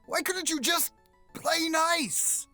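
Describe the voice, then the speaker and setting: Man acting bright, exasperated, distraught father. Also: extremely high pitch, takes it slowly, no echo, soft background music, exaggerated voice. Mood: angry, distraught. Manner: dramatic, scolding. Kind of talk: horror radio drama acting.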